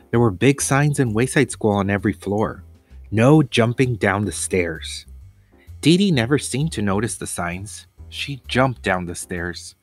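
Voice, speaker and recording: A man reading a children's story aloud in a deliberate, expressive narration with pauses. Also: mid-range voice, no room sound.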